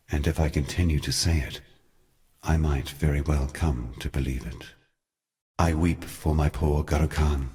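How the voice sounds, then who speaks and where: A man's voice: rumbling low, a little mellow. A man voicing an ancient, sorrowful god in a fantasy game, speaking in a solemn, eloquent and theatrical way. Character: ancient male god, grave, sorrowful, brooding mentor. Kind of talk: scripted fantasy game monologue.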